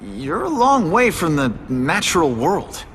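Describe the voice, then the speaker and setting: A man's voice: sits high, big pitch swings, a little slow, vocal fry, a bit bright, a little hiss or hum, full character voice. An actor playing an earnest, wary young man interviewing as a reporter in a video game, with a casual, slightly hesitant manner. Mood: probing. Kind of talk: video game dramatic acting.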